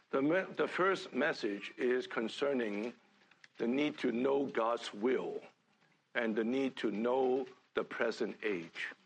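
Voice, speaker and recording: Older man, from Hong Kong, sermon, slow, halting, raspy. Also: medium pitch. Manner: halting, many fillers and restarts. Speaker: church speaker.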